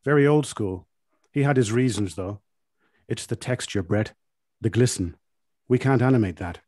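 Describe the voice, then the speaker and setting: A man's voice: mid-range voice, moderate pace, mellow, dry recording. Dry-sounding man reading a comic monologue. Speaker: reader.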